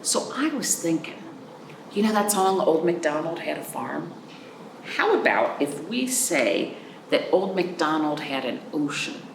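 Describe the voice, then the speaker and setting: A woman hosting a children's storytime, reading aloud and chatting in a sing-song, animated way, addressing young listeners directly. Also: sits low, unhurried, vocal fry. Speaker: library storytime host. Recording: roomy, distant mic, faint background noise.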